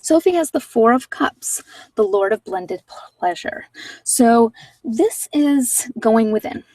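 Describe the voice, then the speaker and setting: A woman's voice: on the high side, lively intonation, slow, darkish tone, no room sound, distant mic, low-quality recording. A woman talking in a casual, conversational way as she hosts an informal tarot card reading. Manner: conversational, informal, anecdotal asides.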